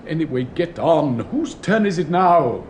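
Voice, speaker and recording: Pompous old headmaster, wildly swooping voice. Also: male voice, a little high, sing-song, measured pace, darkish tone, a little hiss or hum. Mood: stern, fussy. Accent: upper-class English. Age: older. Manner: declamatory, fussy, plummy oratory. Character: pompous, sentimental older public-school headmaster. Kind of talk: theatrical British radio play acting.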